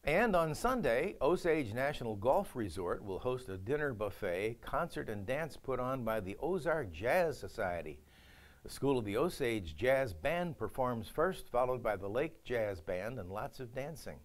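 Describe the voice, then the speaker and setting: A man's voice: mid-range voice, very animated, measured pace. An older man anchoring a local news update in a studio, reading stories with a folksy, joking delivery.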